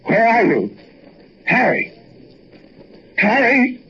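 A man's voice: very high-pitched, wildly swooping pitch, drawn-out words, heavily acted. An actor playing a scheming, duplicitous man in theatrical 1940s radio style. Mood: anxious calling. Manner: theatrical, switching between pleading and hushed inner narration. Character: scheming, duplicitous man feigning innocence and friendship. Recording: noisy, muffled 1940s radio broadcast.

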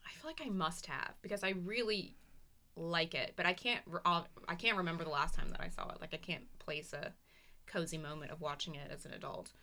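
A woman co-hosting a casual chat podcast, husky after an illness and hesitant with many ums. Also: lowish voice, brisk, bright, forceful tone. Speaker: podcast co-host, playwright.

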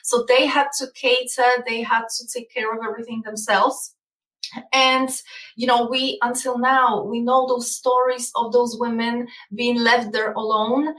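A woman's voice: fairly high pitch, little pitch movement, measured pace, a bit bright, reverberant, distant mic, low-quality recording. A woman with a Polish accent, talking as a guest in an interview, explaining earnestly and often repeating words. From Poland. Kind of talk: casual interview talk.

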